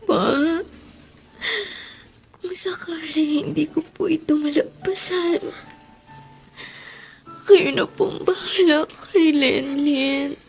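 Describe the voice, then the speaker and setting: A woman acting a gravely ill, despairing young mother in a melodramatic radio drama, with weary, halting, tearful delivery. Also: high-pitched, a little mellow, soft background music. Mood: weak, despairing.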